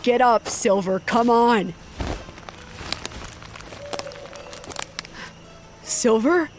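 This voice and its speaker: An actress playing a determined, bossy leader of a wandering group in an audio drama, with a commanding, insistent delivery. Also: female voice, fairly high pitch, takes it slowly, faint music behind. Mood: urgent. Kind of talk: audio drama acting with narration.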